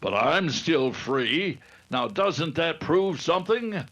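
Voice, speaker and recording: A man playing a scheming, vengeful gang leader in an old-time radio adventure, with halting, deliberate speech. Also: a little high, lively intonation, a bit bright, lo-fi sound, exaggerated voice. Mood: vengeful, boastful.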